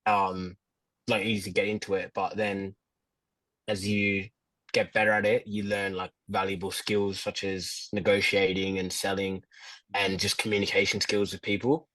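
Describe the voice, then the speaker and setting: A young man answering questions as a prospective client, in a hesitant, halting and understated way. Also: low-pitched, little pitch movement, sharp tone, no room sound, rough recording. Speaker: prospective client on a sales call.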